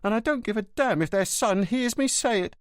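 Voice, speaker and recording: A man's voice: high-pitched, brisk, clear, resonant tone, no echo, studio quality, voice pushed up, lightly acted. A man voicing a frantic, gossipy, melodramatic old woman concierge in an audiobook. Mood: indignant. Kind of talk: audiobook character voice.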